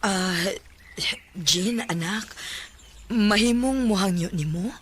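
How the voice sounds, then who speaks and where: A woman's voice: medium pitch, takes it slowly, slight background noise, close to an everyday voice. A Filipino woman with a Visayan accent playing a weary, struggling abandoned mother in a radio drama. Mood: earnest, pleading.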